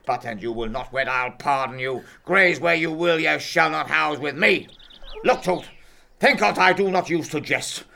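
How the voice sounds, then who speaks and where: A man's voice: fairly high pitch, moderate pace, gravelly. An actor playing a tyrannical, hot-tempered father and lord of the house in a declamatory Shakespearean style. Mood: threatening. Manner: declamatory, swinging from hushed menace to furious shouting. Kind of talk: Shakespearean stage drama acting.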